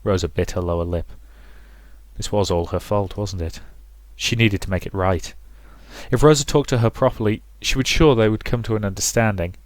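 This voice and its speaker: A man with a fairly low voice narrates a visual novel aloud in a storybook style. The recording is dry and close, with little room sound. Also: normal speed, dry recording. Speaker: let's player reading a visual novel aloud.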